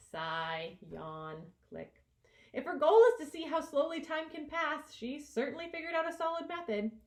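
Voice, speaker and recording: A woman reads a middle grade novel aloud in an expressive, animated way. Her pitch moves around a lot as she plays up the young narrator's reactions, settling into a medium register between swings. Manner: animated, acts out dialogue and the child narrator's reactions. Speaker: author reading aloud from own novel.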